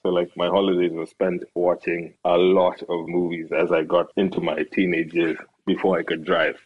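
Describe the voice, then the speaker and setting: Kenyan-born guy in his 30s, really low husky voice, rambly casual chat. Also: moderate pace, phone-quality sound. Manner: relaxed, rambling with many fillers and restarts. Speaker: farmer and entrepreneur, guest. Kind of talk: casual conversational storytelling.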